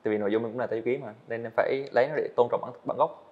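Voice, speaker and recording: A man's voice: mid-range voice, steady tone, rapid-fire, highs cut off. A young man, a guest animator, earnestly explaining his work step by step in a casual interview on a studio set.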